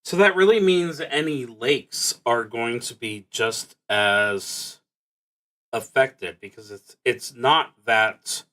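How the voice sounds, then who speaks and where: A man hosting a solo roleplaying stream, musing to himself in a halting, deliberate way as he plays. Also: mid-range voice, bright, forceful tone. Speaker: host of a solo roleplaying livestream. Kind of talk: thinking aloud while playing a solo tabletop game.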